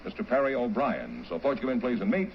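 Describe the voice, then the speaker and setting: A man's voice: mid-range voice, lively intonation, quick pace, a little hiss or hum. An American man announcing a show in a 1950s broadcast style. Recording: muffled vintage broadcast with music.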